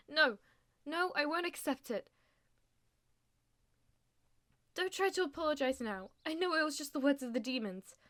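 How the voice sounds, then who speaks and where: Schoolgirl, played by a woman for audio roleplay: high-pitched, theatrical, self-styled dark lord's aide, pompous wording, prone to stammering. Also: normal speed. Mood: distressed, dramatic. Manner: theatrical, grandiose formal phrasing with flustered stammering. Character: melodramatic, delusional schoolgirl who fancies herself a demon lord's aide. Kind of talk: ASMR audio roleplay acting.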